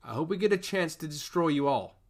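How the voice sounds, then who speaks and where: A man voicing an evil, threatening envoy in a fantasy audiobook, speaking in slow, deliberate threats. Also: fairly high pitch, lively intonation, voice pushed up. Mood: gloating menace. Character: menacing, gloating male zealot envoy, evil and threatening.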